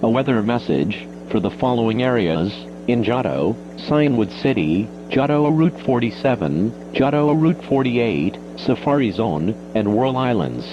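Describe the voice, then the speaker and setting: A man's synthetic-sounding voice reads a scripted emergency weather bulletin in a formal, measured way, like an automated announcer. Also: mid-range voice, very animated. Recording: muffled noisy weather-radio broadcast with hum.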